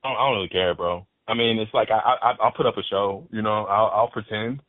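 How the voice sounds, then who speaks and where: A man with a very low voice talks loosely and profanely, stumbling over repeated words when he gets fired up. He sounds thin and phone-like, with no room around him at all. He comes across as a podcast guest in casual chat. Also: fast, no echo. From Fort Worth, Texas. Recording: dry, phone-like band.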